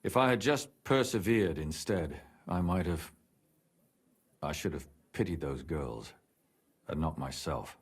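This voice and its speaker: Weary, earnest medieval lawman for a game; very low, husky, measured delivery. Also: lively intonation, heavily acted. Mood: remorseful, earnest. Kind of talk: video game voice acting, dramatic dialogue.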